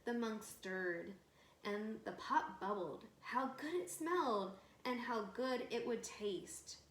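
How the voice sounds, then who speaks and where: Slow, high-voiced woman reading a storybook aloud. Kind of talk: expressive picture-book read-aloud with asides to young listeners. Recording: echoey room with faint background noise.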